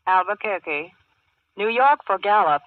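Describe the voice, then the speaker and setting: Tinny, singsong old-time telephone operator. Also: female voice, mid-range voice, lively intonation, unhurried, dry recording. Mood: polite, businesslike. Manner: formal, singsong procedural delivery. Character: polite, businesslike woman long-distance telephone operator. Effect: telephone filter. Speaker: actress in a radio play. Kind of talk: 1940s radio acting. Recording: tinny phone line, faint noise, vintage radio.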